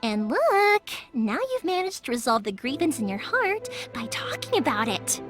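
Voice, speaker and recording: An actress playing a cheerful, lively young fireworks maker in a video game, talking in a playful, warm-hearted way. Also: female voice, sits high, normal speed. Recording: game soundtrack music faint behind.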